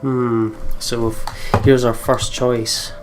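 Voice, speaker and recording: A man's voice: mid-range voice, level tone, very slow, over music. A man with a Scottish accent giving casual commentary as co-host of a let's play.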